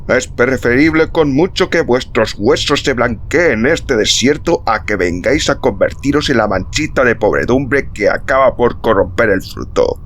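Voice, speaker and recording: A man voicing a stern religious leader of about thirty, solemn, forceful and declamatory. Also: mid-range voice, a little hiss or hum, voice pushed up.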